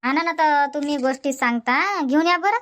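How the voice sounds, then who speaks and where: A woman voicing a chatty, sharp-tongued village housewife in a dubbed cartoon comedy, scolding and complaining. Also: high voice, a little fast.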